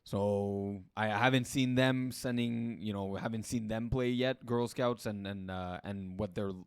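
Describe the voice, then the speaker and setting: Low-voiced man, halting sports chat. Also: fairly even intonation, clean tone, no echo, clean studio sound. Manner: hesitant, lots of fillers and agreeing interjections. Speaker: podcast co-host.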